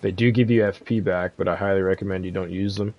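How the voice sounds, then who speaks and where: A man giving a casual, relaxed video game walkthrough as the host of a guide, in informal language. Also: low-pitched, fast, muffled recording, faint music behind. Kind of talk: casual gameplay walkthrough commentary.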